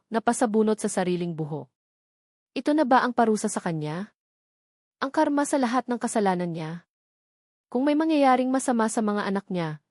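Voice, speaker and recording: A woman's voice: medium pitch, a little mellow, dry recording, clean studio sound. A woman with a Filipino accent narrating a scripted romance drama in an emotive, dramatic way. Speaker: audiobook narrator.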